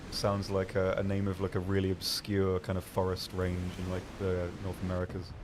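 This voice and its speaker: Man, somewhat low pitch, game stream co-host, casual chat, hesitant, rambling, self-deprecating, faint background noise. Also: completely flat delivery, moderate pace.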